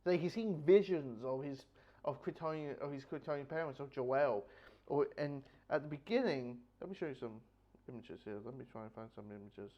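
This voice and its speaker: A man's voice: medium pitch, expressive, brisk, warm tone. A man giving a casual spoken comic book review, rambling and repeating phrases as he goes. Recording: dull, lo-fi audio.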